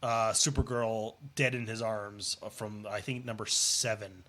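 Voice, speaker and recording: A man's voice: lowish voice, steady tone, unhurried, fairly bright tone. Phlegmy man with a cold, rambling. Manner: rambling, trails off with fillers. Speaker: podcast co-host. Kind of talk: casual chat.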